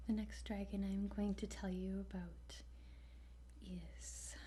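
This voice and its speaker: A woman whispering softly in a halting ASMR roleplay as a gentle, patient guide, close to the microphone. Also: medium pitch, little pitch movement. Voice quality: soft breathy whisper. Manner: slow, halting, with pauses. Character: gentle, patient dragon training centre guide. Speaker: ASMR roleplayer playing a dragon trainer. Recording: faint background noise.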